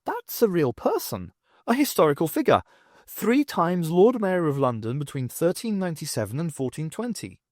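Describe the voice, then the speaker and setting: A man voicing a sharp, inquisitive woman adventurer who questions things emphatically. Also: fairly high pitch, sing-song, normal speed, no echo, clean studio sound, voice pushed up. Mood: questioning.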